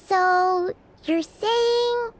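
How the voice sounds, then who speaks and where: A woman voicing a tiny, childlike fairy companion in a video game, curious, chatty and expressive, with a squeaky voice. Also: extremely slow, a little hiss or hum, exaggerated voice. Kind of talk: video game character acting.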